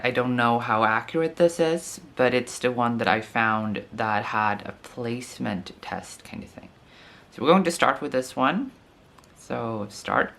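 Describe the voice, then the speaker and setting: Slow, musing Swedish-accented man. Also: mid-range voice, a bit bright. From Sweden. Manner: slow and musing, sounds out foreign words tentatively. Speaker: language teacher and video host. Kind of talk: casual talk to camera, thinking aloud.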